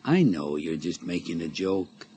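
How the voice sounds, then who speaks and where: Radio play villain: middle-aged male blackmailer, low-voiced, folksy and taunting, some background noise. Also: very animated, measured pace, dark tone. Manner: taunting, drawling familiarity with folksy grammar. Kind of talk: radio drama acting.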